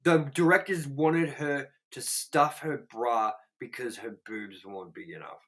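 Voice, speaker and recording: A man's voice: mid-range voice, a little slow, a bit bright. A young man co-hosting a movie review show. He reads out trivia and rambles bluntly, swearing and filling his talk with "like.". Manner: rambling, profane, blunt, with many "like" fillers. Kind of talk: casual chat reading out movie trivia.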